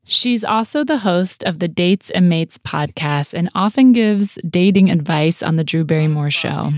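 Lowish-voiced American woman, podcast co-host. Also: animated, unhurried, no room sound, studio quality. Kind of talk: conversational podcast hosting.

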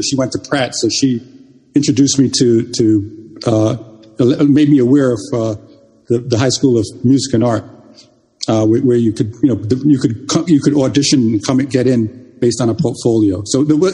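An older man recalls his past in a halting, reflective way, full of restarts. His voice is mellow and slightly husky. The recording carries a long, hall-like reverb. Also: mid-range voice. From Jamaica. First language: Jamaican Patois. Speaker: interviewee. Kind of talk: oral history interview.

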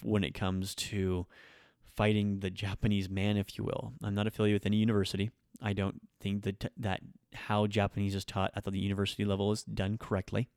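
A man's voice: lowish voice, darkish tone, clear, resonant tone, no room sound, studio quality. A man hosting a podcast, talking through his views on teaching in an unscripted monologue with many ums and restarts. He is a native English speaker. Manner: frank, opinionated, with frequent ums and restarts. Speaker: podcast host and language teacher.

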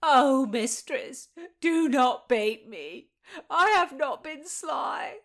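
A woman voicing a tearful, lovestruck young maidservant in a storybook reading, with a high theatrical delivery. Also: lively intonation, very slow, a bit bright, exaggerated voice. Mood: tearful, lovesick.

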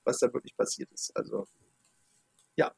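A man's voice: lowish voice, steady tone, moderate pace, vocal fry, a little mellow, slightly rough, no room sound. A man hosting a podcast in casual chat, chatty and full of fillers. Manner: chatty, many fillers, talks of his age. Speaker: podcast host.

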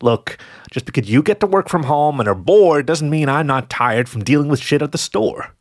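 A man's voice: fairly high pitch, moderate pace, no room sound, voice pushed up, natural voice. Man as a weary, sarcastic jackal, very dry sound. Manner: weary, deadpan questioning. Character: tired, exasperated male jackal roommate, dry and sarcastic.

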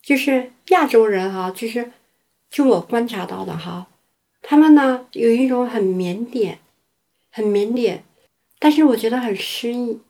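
A woman artist from China talking unscripted in an interview, halting and repeating herself as she thinks aloud. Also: fairly high pitch, dark tone.

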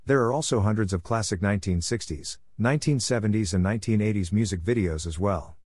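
A man with a lowish voice introduces a classic radio programme. He reads from a script as host and announcer. The sound is dry, with no room echo. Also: quick pace, a little mellow. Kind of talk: scripted show introduction and sponsor read.

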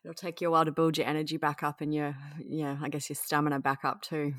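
A young woman from outside the United States, chatting with a friend in a soft, supportive, hedging way. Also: deep voice, flat delivery, fast, dry recording, studio quality. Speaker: friend on a call. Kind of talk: casual chat.

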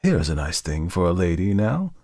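A man's voice: on the low side, big pitch swings, unhurried, no room sound, pitched above the performer's own voice, full character voice. A man voicing a shrewd, mocking male shopkeeper in a storybook reading, with a dry, biting voice and slyly polite manner. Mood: sly, wheedling. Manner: sly, chuckling, formally polite salesman. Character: shrewd, mocking little male antique dealer, impatient. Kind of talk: audiobook character acting.

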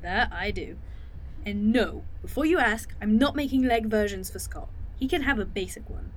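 A woman's voice: medium pitch, expressive, normal speed, a little hiss or hum, lightly acted, poor audio. A woman playing a wry, protective time-traveling mechanic in an audio drama.

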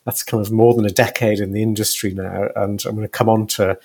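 A man's voice: lowish voice, level tone, brisk, darkish tone, husky. A man hosting a conversational podcast interview, framing his questions in a loose, hesitant way with repeated words.

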